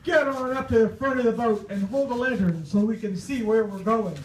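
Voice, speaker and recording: A man acting as a brave Revolutionary War general pitched high, declaiming heroically as in a radio play parody. He is grandly earnest, heard from far off in a roomy, noisy space. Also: brisk. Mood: urgent commanding. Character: General George Washington, brave solemn commander in a storm. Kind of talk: old-time radio drama parody on stage. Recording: distant, echoey live stage with noise.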